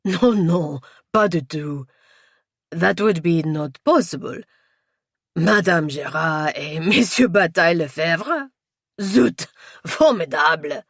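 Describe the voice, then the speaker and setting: A man's voice: on the high side, animated, slow pace, a bit bright, no echo, full character voice. Haughty French police inspector as read by a woman, formal and stilted, French accent, dry close audiobook sound. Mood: amused.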